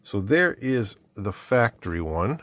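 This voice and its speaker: Guy opening his mail, kinda low voice, dry close sound, reads labels out loud and explains stuff slowly. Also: very animated, dry recording. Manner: thinking aloud, reading labels, patiently explaining. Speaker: channel host. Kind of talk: casual mail unboxing and tech explanation.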